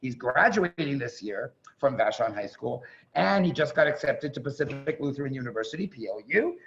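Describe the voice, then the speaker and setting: A man hosting a staged reading over a video call, making effusive, chatty, informal introductions in a gravelly voice. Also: mid-range voice, expressive, brisk, fairly bright tone, a little hiss or hum. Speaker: host of a staged reading event. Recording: dull, muffled video-call audio.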